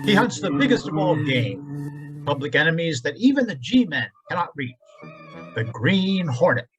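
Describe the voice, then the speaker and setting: A man's voice: a little high, big pitch swings, moderate pace, music in the background. A man announcing an old-time radio drama in a dramatic, declamatory manner.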